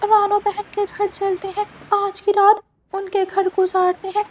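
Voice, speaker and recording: Woman doing a squeaky, fretful little cartoon parrot. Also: monotone, measured pace, a little mellow, a little hiss or hum. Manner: plaintive, fretful. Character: naive, worried married parrot couple member, small bird. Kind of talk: children's cartoon voice acting.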